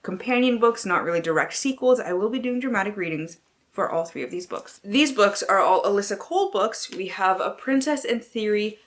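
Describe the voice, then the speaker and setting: A woman's voice: mid-range voice, expressive, fairly quick. A woman hosting a book vlog, talking casually with wry, theatrical delivery and plenty of swearing. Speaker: book vlogger, channel host. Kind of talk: casual chat to camera with playful dramatic book-blurb readings.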